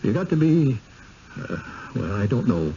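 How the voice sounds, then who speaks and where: An actor in a 1970s radio drama playing a folksy, uneasy village servant with a rural American accent, halting and plain-spoken. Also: male voice, medium pitch, very animated, dark tone. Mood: urgent, foreboding. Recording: muffled, noisy old radio broadcast.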